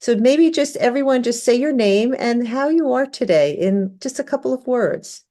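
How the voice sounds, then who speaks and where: A woman teaching an online class, giving a relaxed orientation with many fillers and restarts. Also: fairly high pitch, moderate pace. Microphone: video call.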